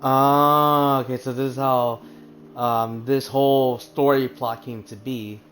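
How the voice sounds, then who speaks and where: Brief: male streamer, mid pitch, slow laid-back talk, casual swearing reactions. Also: flat delivery, a bit bright, soft background music. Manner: casual, rambling, swears, laughs. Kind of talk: casual live gameplay commentary.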